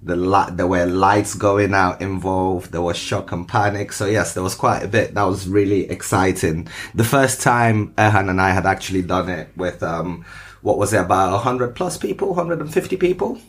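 Deep-voiced relaxed man, laughing easily. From born in Moscow, raised in Nigeria, Germany and the UK. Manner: relaxed, laughs often, measured storytelling. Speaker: podcast guest, security professional. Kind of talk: casual interview conversation.

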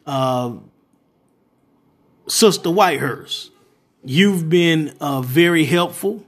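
A man hosting an interview, speaking in a halting way with fillers. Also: fairly high pitch. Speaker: interview host.

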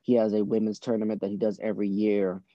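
A man's voice: on the low side, level tone, fast talker, warm tone, no echo, muffled recording. A man who grew up in Boston, a basketball coach, giving casual, conversational answers as a podcast guest. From born in Trinidad, grew up in Boston from age six. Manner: conversational, frequent "you know".

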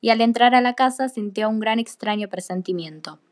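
Female storybook narrator, slightly high voice, scripted radio play delivery. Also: a little fast.

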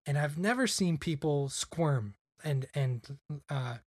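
A man's voice: on the high side, animated, slow pace, no room sound, studio quality. A young man chatting casually on a podcast, rambling with lots of "like" fillers. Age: young adult. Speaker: podcast guest. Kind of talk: casual chat.

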